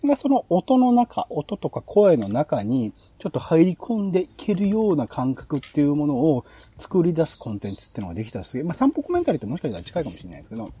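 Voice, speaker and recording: Animated man with swooping pitch. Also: quick pace, hardly any pauses, slightly rough. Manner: thinking aloud in long, loosely linked sentences with frequent hedging. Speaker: podcast host. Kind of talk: casual explanatory monologue pitching show ideas.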